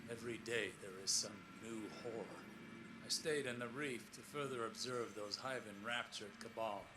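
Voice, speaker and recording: A man performs a solemn, scholarly space explorer dictating a log for a video game. He is weary and troubled, choosing formal words slowly and gravely, with brooding pauses. Clear background noise surrounds his voice. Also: mid-range voice, lightly acted. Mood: uneasy, haunted. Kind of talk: scripted audio log in a science fiction game.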